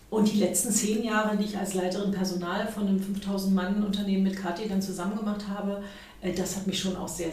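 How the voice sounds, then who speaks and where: A woman's voice: medium pitch, a little mellow. A woman in her late fifties from Berlin, talking conversationally as a guest in an interview. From Berlin, Germany. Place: indoor room, in-person interview. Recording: very echoey room, distant mic.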